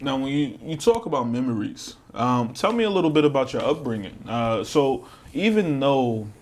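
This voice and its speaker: A man's voice: medium pitch, animated, moderate pace, darkish tone. Guy hosting a podcast, slangy n emphatic, repeats himself, some noise n clicks behind. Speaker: podcast host interviewing his co-host. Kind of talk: casual podcast intro and interview.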